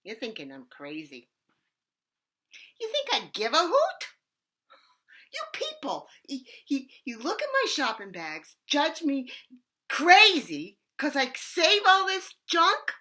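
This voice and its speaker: A manic, raspy bag lady, played by a woman on stage, rants in a high, bright voice that leaps wildly up and down. She drawls her words slowly, flipping between loud outbursts and hushed, secretive asides. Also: wildly swooping pitch, exaggerated voice. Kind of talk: stage monologue.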